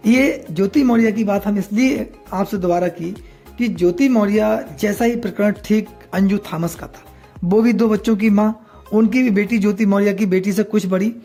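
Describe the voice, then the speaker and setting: Male news commentator, soft dark tone, moralizing and opinionated delivery, music underneath. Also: high-pitched, moderate pace. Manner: moralizing, rhetorical questions. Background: background music.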